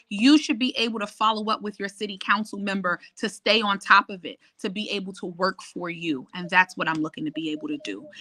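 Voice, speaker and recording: Woman candidate, bright forceful voice, dry close sound, sure of herself. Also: medium pitch, no echo. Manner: assured, persuasive, lists achievements. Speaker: city council candidate. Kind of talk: campaign pitch on a call-in show.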